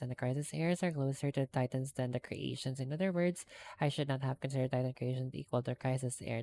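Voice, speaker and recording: Very low-pitched, creaky-voiced woman reading aloud. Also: dark tone, slight background noise. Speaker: game streamer. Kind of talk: reading game text and dialogue aloud.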